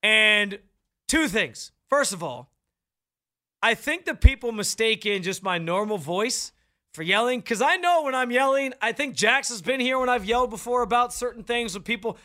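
Loud, bright-voiced sports radio man. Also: very high voice, lively intonation, moderate pace. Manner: loud, projecting delivery; fired-up, opinionated. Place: radio studio. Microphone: studio microphone, close.

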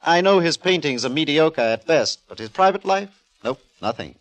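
An actor playing a worldly newspaper art critic with offhand dry wit in a 1950s radio drama. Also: male voice, fairly high pitch, lively intonation, moderate pace, dry recording, close to an everyday voice. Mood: wry, offhand.

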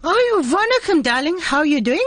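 A man's voice: very high voice, very animated, fast, fairly bright tone, slight background noise, exaggerated voice. A man performing a flamboyant older Indian auntie character with a South African Indian English accent, gushing and calling everyone darling. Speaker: comedy character guest. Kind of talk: radio call-in banter in character. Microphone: studio microphone.

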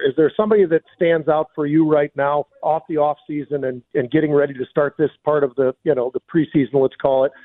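A man hosting a sports radio show, asking casual interview questions in a roundabout, padded way. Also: on the high side. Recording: tinny phone-like sound.

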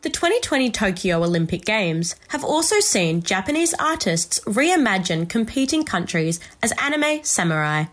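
A woman's voice: medium pitch, moderate pace, hardly any pauses. A woman presenting the sport segment of a news bulletin, introducing reports. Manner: lively scripted delivery.

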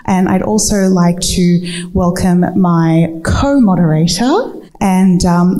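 Panel moderator: woman, soft-edged mellow tone, slightly low pitch, speaking live. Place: live festival talk before an audience.

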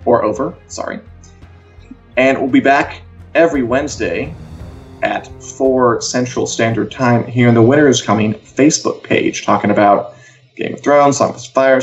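A man with a middle-pitched voice co-hosts a casual entertainment chat. He is wry and emphatic in how he makes his points. The sound is dull and lo-fi, like a live stream. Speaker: co-host of an entertainment live show. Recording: dull, lo-fi audio.

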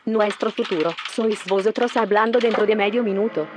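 A woman's voice: medium pitch, steady tone, normal speed, background noise, lightly acted. A woman playing an assured physicist in an audio drama, explaining in a matter-of-fact, technical way. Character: Daniela, assured female time physicist. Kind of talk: Spanish audio fiction acting.

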